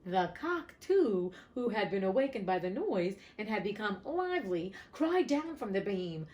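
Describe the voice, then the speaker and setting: A woman reads an old fairy tale aloud with a lively, swinging pitch. She keeps stopping for chatty, irreverent asides, tossing in casual swearing along the way. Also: moderate pace. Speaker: host reading classic stories. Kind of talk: storybook reading with casual asides.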